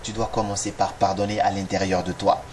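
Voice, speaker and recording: A man's voice: low-pitched, little pitch movement, brisk, darkish tone. Earnest man giving a motivational talk. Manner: direct, addresses listener as tu, rhetorical questions. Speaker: motivational video host. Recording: noisy recording with clicks.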